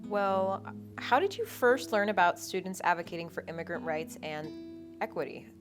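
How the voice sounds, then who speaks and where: A woman's voice: lowish voice, expressive, moderate pace. A young woman interviewing a guest, friendly and reading out her questions. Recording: guitar music playing underneath.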